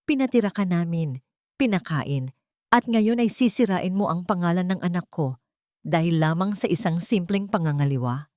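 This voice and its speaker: A cruel, wealthy older matriarch, acted out by a female narrator, scolding and threatening in a haughty way. The voice sits fairly low in a dry audiobook recording. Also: animated, normal speed, a little mellow, no room sound, close to an everyday voice. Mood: cold threatening. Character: cruel, domineering rich older mother-in-law, venomous.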